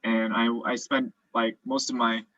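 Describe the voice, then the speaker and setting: A man's voice: medium pitch, little pitch movement, unhurried, pushed and bright, no echo, highs cut off. A young man who grew up in South Korea and is now a dental student, explaining cases casually with lots of fillers over a video call. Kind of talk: virtual presentation and Q&A.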